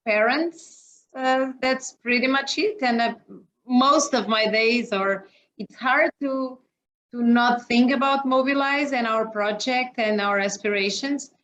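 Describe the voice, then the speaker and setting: A woman's voice: fairly high pitch, fairly even intonation, slow, a bit bright, slight background noise. A woman with a Portuguese accent, a guest talking casually from her home office on a video call, hesitant with many fillers. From Mozambique. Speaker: interview guest, operations executive. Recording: muffled lo-fi video call audio.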